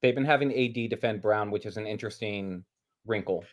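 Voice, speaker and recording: A man's voice: lowish voice, fairly quick. A man co-commentating a basketball stream, chatting casually and explaining plays in a conversational way. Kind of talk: casual chat and game analysis.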